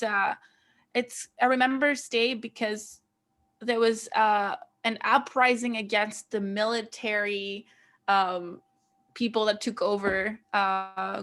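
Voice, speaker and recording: A woman's voice: mid-range voice, fairly bright tone. A woman with a Greek accent co-hosting a casual podcast over a video call, explaining history with plenty of fillers and restarts. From Greece. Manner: rambling, many fillers and restarts while explaining. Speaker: podcast co-host.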